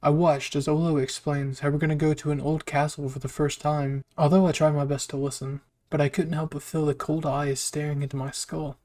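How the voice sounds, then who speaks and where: A man's voice: fairly high pitch, little pitch movement, fairly quick, few pauses, dark tone. A man reading a scripted first-person story aloud as a narrator. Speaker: fan fiction narrator.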